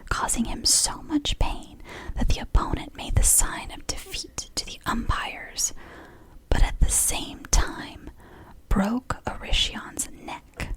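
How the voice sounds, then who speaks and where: A woman's voice: a little high, big pitch swings, takes it slowly, fairly bright tone, slightly rough. Whispering woman reading to soothe. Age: 30s. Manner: whispering, chatty asides and apologies. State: sore throat from whispering. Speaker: ASMR creator. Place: home.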